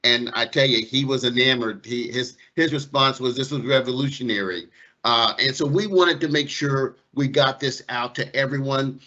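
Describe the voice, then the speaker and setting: Very bright-voiced man presenting, dull call audio. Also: mid-range voice, moderate pace. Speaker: technology specialist presenter. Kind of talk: conversational business presentation. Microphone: online meeting microphone.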